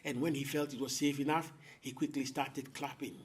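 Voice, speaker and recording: A man telling a folktale as host, with theatrical, deliberate phrasing and dramatic pauses. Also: medium pitch, steady tone, slightly rough. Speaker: storyteller, host. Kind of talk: oral folktale storytelling to an audience.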